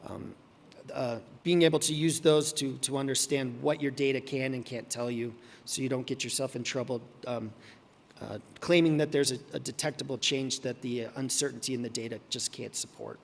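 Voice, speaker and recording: A man giving a conference talk, hoarse and losing his voice, halting with many ums and restarts. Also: mid-range voice, steady tone, big-room sound. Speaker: conference presenter. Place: conference hall.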